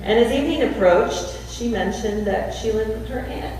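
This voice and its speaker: A woman preaching a sermon in a church, telling a story and slowing down to stress key words. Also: on the high side, darkish tone. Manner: storytelling with slowed emphasis. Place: church sanctuary. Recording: echoey church hall from far off.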